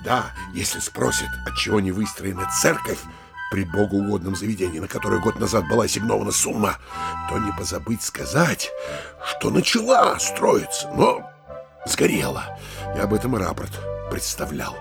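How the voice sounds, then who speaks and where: An actor playing a flustered, corrupt middle-aged town mayor in a theatrical comedy audio play, swinging between muttering and exclamation. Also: male voice, lowish voice, big pitch swings, moderate pace, creaky. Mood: hurried, scheming. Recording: music under the voice.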